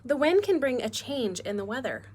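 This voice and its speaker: A woman's voice: high voice, very animated, normal speed, a little mellow, husky. A woman teacher doing a read-aloud lesson for young children, instructive and often asking them questions. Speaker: elementary school teacher.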